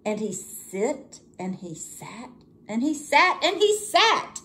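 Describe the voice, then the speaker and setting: A woman reading a children's storybook aloud as a teacher would, with expressive, deliberate and dramatic phrasing. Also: a little high.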